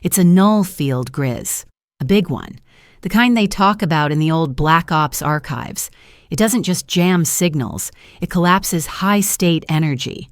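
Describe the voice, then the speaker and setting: A woman voicing a tough, wry female ship mechanic in an audio drama, explaining things briskly. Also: fairly low pitch, no room sound, studio quality, close to an everyday voice.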